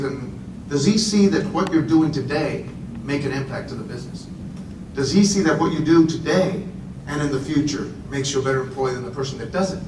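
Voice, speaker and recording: A man who grew up in Puerto Rico gives a conversational conference talk to a live audience, often repeating words and making chatty asides. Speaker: speaker. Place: conference room. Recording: roomy echo, voice from far off, faint rumble.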